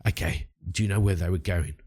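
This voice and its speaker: Terse male adventurer voiced by a storyteller, low-key and questioning, pitched down, all business. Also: a little fast, darkish tone, breathy edge. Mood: probing.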